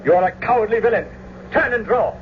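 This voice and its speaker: An actor playing a hot-headed, hateful young duelist in a radio Shakespeare drama, haughty and menacing. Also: male voice, sits high, fast, natural voice. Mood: haughty, hostile. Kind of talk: old-time radio Shakespeare acting. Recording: dull, hissy old radio recording.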